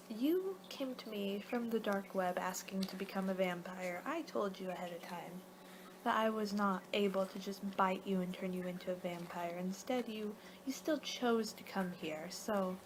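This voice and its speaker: Female ASMR performer as a laid-back vampire guide: mid-pitched, soft to whispery, rambling delivery, noticeably noisy recording. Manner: rambling, halting, losing her train of thought. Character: young-for-her-kind female vampire guiding a client, casual, a little exasperated. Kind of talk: soft-spoken ASMR roleplay, partly whispered.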